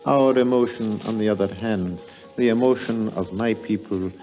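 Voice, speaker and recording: Actor as deep-voiced, solemn elder temple priest. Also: male voice, mellow, natural voice. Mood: soothing. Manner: measured, formal, courteous. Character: elder priest of an Indian temple, calm, formal, enigmatic man. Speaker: actor in a radio drama. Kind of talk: 1950s radio drama acting. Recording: dull vintage 1950s radio recording, faint music.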